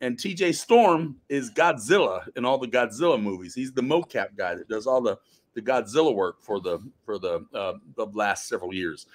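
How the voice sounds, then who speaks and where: A man, an actor guesting on a podcast, who tells stories casually but emphatically, with dramatic pauses. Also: mid-range voice, expressive. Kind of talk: casual interview chat.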